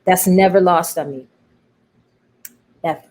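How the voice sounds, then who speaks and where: A middle-aged American woman speaking reflectively and emphatically in a personal conversation, pausing and repeating phrases for weight. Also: fairly low pitch, steady tone, darkish tone. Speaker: interview guest, playwright.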